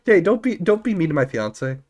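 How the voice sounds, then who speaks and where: A man's voice: a little high, big pitch swings, fairly quick, a little mellow. A young man streaming a game, sick, talking casually and joking with friends over a headset in a small room. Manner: joking, talkative. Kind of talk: casual gaming chat.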